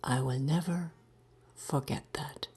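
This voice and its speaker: A woman voicing a dreamy, lonely old widower in a storybook reading, theatrical, with drawn-out pauses. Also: sits low, darkish tone, breathy edge, exaggerated voice. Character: dreamy, dramatic, lonely old widower savoring his memory.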